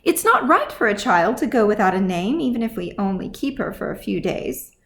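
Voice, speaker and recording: A woman voicing a kind but bossy dwarf widow in a fantasy audiobook, brisk, assured and folksy. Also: medium pitch, very animated, a bit bright, a little hiss or hum. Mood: caring, assured. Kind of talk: audiobook character acting.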